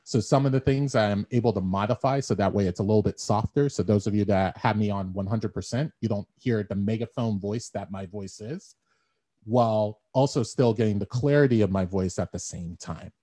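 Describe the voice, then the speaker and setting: A man with a deep baritone voice giving an instructional talk, explaining things step by step and repeating phrases as he thinks. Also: a little fast, warm tone. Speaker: presenter. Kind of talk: instructional talk on audio gear. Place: small bare room at home. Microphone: desktop condenser mic, then earbud headset. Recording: dull lo-fi earbud audio with echo.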